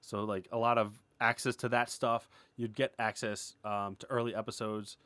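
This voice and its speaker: A man giving a casual, unscripted creator update, rambling and excitable, starting and stopping his phrases as he goes. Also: lowish voice, moderate pace, dry recording. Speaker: animator and web series creator giving an update.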